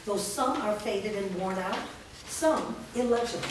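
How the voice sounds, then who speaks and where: A woman's voice: mid-range voice, a little slow. A woman reading stage directions as scripted narration at a staged reading. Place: bare indoor room with small audience. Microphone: far camera. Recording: echoey room, distant mic, noisy.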